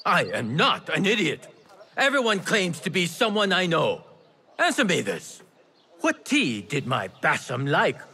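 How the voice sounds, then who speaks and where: An actor playing a wily, affectionate old tea merchant in a video game, with a theatrical, teasing, exclamatory manner. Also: male voice, a little high, huge pitch swings, a little slow, bright, forceful tone, a little hiss or hum, heavily acted. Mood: brisk, suspicious. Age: older. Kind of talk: video game character acting.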